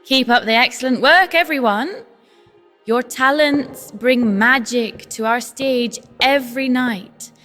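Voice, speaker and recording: Woman playing young opera leading lady, earnest, romantic, theatrical, slow pace, faint music behind. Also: fairly high pitch, sharp tone. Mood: gracious, projecting. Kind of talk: audio drama acting. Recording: long hall reverb with faint music.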